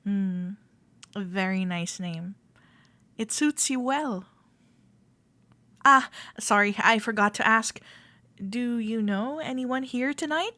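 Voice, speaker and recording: A woman playing a friendly, playful club member in a roleplay audio, gently reassuring a shy newcomer as she speaks directly to the listener. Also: fairly high pitch, slow pace, long pauses, no room sound. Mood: warm, welcoming. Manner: gentle, reassuring, playful, hesitant soft-spoken chattiness.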